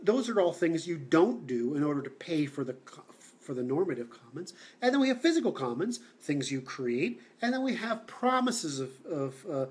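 Man, livestream host, casual monologue, halting, many fillers, opinionated, fairly high voice. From Seattle. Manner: blunt, opinionated.

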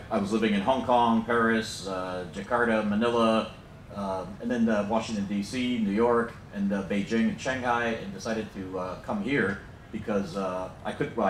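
A man's voice: lowish voice, far from the mic, background noise. A middle-aged American man, a program director, giving a chatty, joking welcome speech with many fillers, in a large lecture hall. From United States. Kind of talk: informal welcome speech to an audience. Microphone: handheld mic, filmed from the back. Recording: roomy hall, heard from afar.

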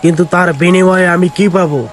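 A man's voice: high-pitched, flat delivery, fast talker, faint music behind, lightly acted. A man voicing a greedy, rude middle-aged village man who talks in a haughty, scornful way. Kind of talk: cartoon voice acting.

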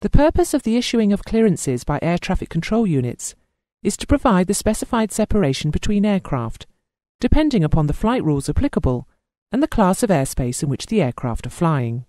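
A training course narrator reading scripted instructional material in a formal, measured way, enunciating lists carefully. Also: medium pitch, big pitch swings, mellow, no echo, clean studio sound.

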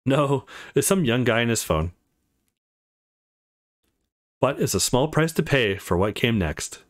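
A man's voice: medium pitch, big pitch swings, unhurried. Easygoing, grateful man, read by a man.

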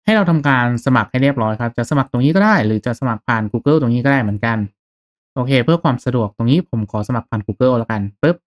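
A man narrating a step-by-step software tutorial in a casual, conversational, instructional way. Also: medium pitch, expressive, fast. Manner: instructional, conversational with polite particles. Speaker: tutorial host.

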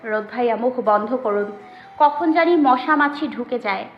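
Woman doing a teasing eager college girl for an audio story, really high, muffled recording w music behind. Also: lively intonation, normal speed, reverberant, natural voice. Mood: playful. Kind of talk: Bengali audio story acting.

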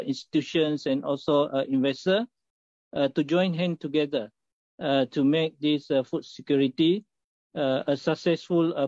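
A middle-aged man from Malaysia, a company executive, talking haltingly with many filler sounds in a remote interview over a video call. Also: fairly high pitch, a little mellow, dry recording. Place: indoor office. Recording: muffled dry video call audio.

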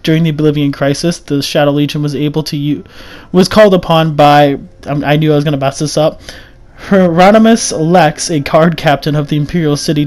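A man's voice: a little high, darkish tone. A young man with a sick, scratchy throat, reading a game lore script aloud and often stumbling over words. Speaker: lore video narrator. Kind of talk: read-aloud lore narration over gameplay.

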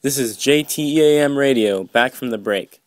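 A man's voice: medium pitch, moderate pace, over music. A man hosting and reporting in a scripted radio read. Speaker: radio host and crime reporter.

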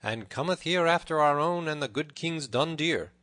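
A man voicing a big, hot-tempered outlaw in a storybook, loud and blustering in old-fashioned speech. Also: on the high side, expressive, normal speed, no echo, studio quality, pitched above the performer's own voice. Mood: suspicious. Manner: loud, archaic, challenging bluster.

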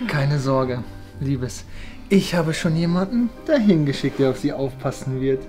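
A man's voice: a little high, lively intonation, unhurried, dark tone, faint music behind. A man in his thirties playing a jovial, affectionate gothic father in a scripted parody. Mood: reassuring. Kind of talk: scripted web parody acting.

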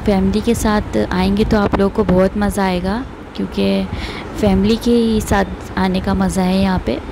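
A woman's voice: mid-range voice, level tone, moderate pace, vocal fry, background noise. A woman, casually narrating her family outing for a vlog and recommending the place. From Pakistan. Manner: chatty, recommending, pious phrases. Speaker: vlogger.

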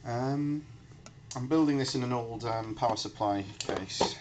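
A man giving a casual, halting demonstration at a workbench, recorded on a handheld camera. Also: medium pitch. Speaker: hobbyist electronics builder presenting. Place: indoors at a cluttered workbench. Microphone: handheld camera microphone. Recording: noisy handheld camera in room.

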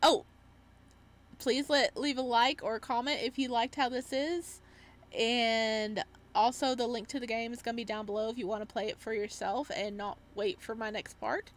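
A woman giving casual let's-play commentary and reading game text aloud, with an excitable, reactive manner. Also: fairly high pitch, moderate pace. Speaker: gaming streamer.

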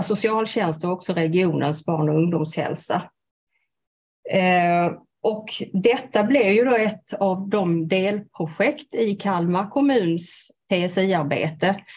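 A woman presents at a seminar over a video call, pausing often with fillers and restarting her sentences. Her voice sits at a middle pitch. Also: darkish tone. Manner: hesitant, many fillers and restarts. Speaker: development leader for children and youth.

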